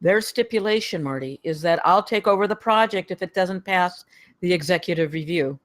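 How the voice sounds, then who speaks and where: A man's voice: sits high, normal speed, a bit bright. Man playing a weary, apologetic executive. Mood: apologetic, heavy. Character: weary apologetic middle-aged male studio executive named Card. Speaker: actor at a live table read. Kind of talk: table read of a screenplay.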